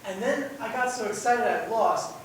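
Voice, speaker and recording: A man's voice: very high-pitched, moderate pace. Young man playing a childlike simple man, echoey stage. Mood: excited. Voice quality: ungrammatical, simple speech. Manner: naive, plain-spoken. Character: childlike, earnest, simple-minded young man, eager to learn. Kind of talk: amateur student stage acting. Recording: echoey stage, distant mic, faint hiss.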